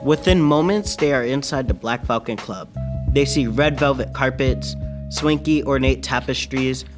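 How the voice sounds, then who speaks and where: A man's voice: mid-range voice, moderate pace, natural voice. A man playing a solemn old-time radio announcer, narrating a mystery serial in a theatrical, ominous manner. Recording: music bed behind the voice.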